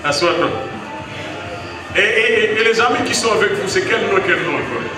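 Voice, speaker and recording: A man with a Congolese French accent giving a graduation speech that turns to preaching, emphatic and rising into excited exclamations, heard from a distance in a large hall with an audience. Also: high voice, moderate pace, over music. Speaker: university rector speaking at a graduation. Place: large assembly hall in Kinshasa.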